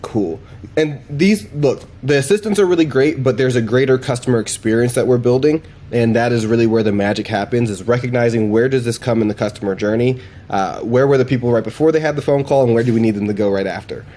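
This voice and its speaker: A man's voice: mid-range voice, fast, warm tone. A man giving a casual product demo in an informal, conversational way. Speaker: presenter demonstrating AI voice assistants.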